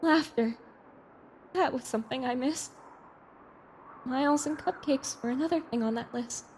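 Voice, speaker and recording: A woman's voice: high-pitched, moderate pace, a little mellow, slight background noise, natural voice. A woman voicing a troubled young filly survivor, blunt and emotional, in an audio drama. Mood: wistful. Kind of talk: audio drama character acting.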